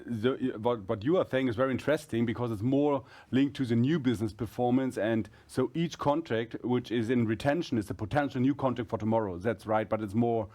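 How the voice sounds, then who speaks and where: Mężczyzna, menedżer, tłumaczy z wahaniem. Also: male voice, medium pitch, darkish tone. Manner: halting, rephrasing as he explains. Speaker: company executive answering analysts. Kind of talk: explanatory earnings-call answers.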